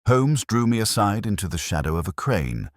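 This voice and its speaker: A man reading a scripted dramatic mystery story in a measured, grave manner. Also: lowish voice, big pitch swings, no echo, studio quality. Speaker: audiobook narrator.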